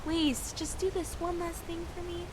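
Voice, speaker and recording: A woman's voice: very high-pitched, level tone, moderate pace, background noise. A woman playing a stubborn, sarcastic young girl who has been left on her own, in video game dialogue. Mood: pleading. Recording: loud music over the voice.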